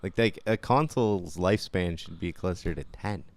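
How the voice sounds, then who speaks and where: A man's voice: low voice, normal speed, creaky, no room sound. A man co-hosting a podcast, chatting casually about games in a blunt, opinionated way. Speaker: podcast co-host. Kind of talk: casual gaming chat.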